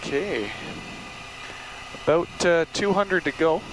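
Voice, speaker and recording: A man's voice: fairly high pitch, animated, slow. Man on a noisy cockpit intercom. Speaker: airline first officer on cockpit intercom and radio. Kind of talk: clipped cockpit checklist and radio calls. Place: small turboprop cockpit in flight. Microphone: aviation headset. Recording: noisy aircraft intercom feed with engine drone.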